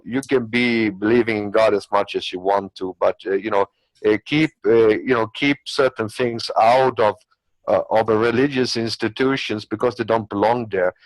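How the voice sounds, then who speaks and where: A man's voice: on the low side, no room sound, rough recording. A man who is not a native English speaker, arguing his opinions in an unscripted discussion in a rambling, repetitive way. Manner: rambling, repetitive argument with hypothetical examples. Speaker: panelist.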